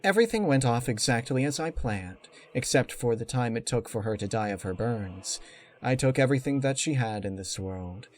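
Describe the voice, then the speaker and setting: A man's voice: mid-range voice, animated, a little hiss or hum, lightly acted. Man voicing a smug, scheming villain pony mare. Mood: cold, satisfied. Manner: taunting, smug, measured. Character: scheming young female pony, smug and cruel.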